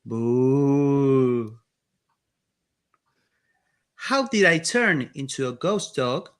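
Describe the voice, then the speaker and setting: A man's voice: mid-range voice, big pitch swings, extremely slow, smooth, pitched below the performer's own voice, full character voice. Aldo, a cheerful ghost dog, read by an Argentine man. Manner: gentle, storytelling first-person.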